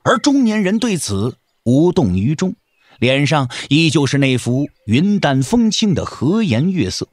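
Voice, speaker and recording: A male storyteller narrates a martial arts drama with emphatic phrasing. His voice sits a little high and swings widely in pitch. It is recorded dry and close. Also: big pitch swings, a little slow, dry recording. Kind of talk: dramatic scripted audiobook narration.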